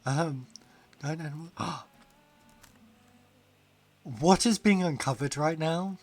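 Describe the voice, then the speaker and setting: A man's voice: a little high, very slow, darkish tone. A man chatting casually on a game stream, rambling, laughing often and reading chat aloud. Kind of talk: casual live-stream chat while gaming.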